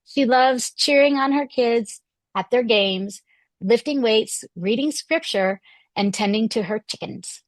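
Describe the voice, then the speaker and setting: Podcast host, woman, 50s, hoarse, upbeat and chatty, dry close-mic sound. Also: fairly high pitch, unhurried, dry recording, poor audio. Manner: enthusiastic, chatty, with fillers. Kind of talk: podcast interview hosting.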